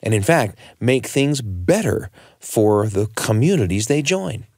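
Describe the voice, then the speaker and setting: A man's voice: lowish voice, big pitch swings, measured pace, a little mellow, dry recording, clean studio sound. An American man hosting a radio storytelling show in a conversational, emphatic way, often turning to the listener with questions.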